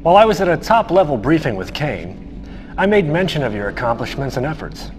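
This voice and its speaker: An actor playing a smug, scheming commander of a militant brotherhood in a video game cutscene, speaking deliberately with menacing, theatrical emphasis. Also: male voice, a little high, sing-song. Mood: guarded approval.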